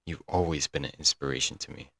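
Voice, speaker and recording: A man's voice: very deep voice, fairly even intonation, quick pace, bright, forceful tone, slightly rough, dry recording, clean studio sound, heavily acted. A man playing a young, lovesick and clingy stalker in an intimate, earnest ASMR audio roleplay. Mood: tender, admiring. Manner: doting, earnest, dramatic with sighs and chuckles. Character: lovesick, broke young male yandere stalker-kidnapper, clingy and sweet.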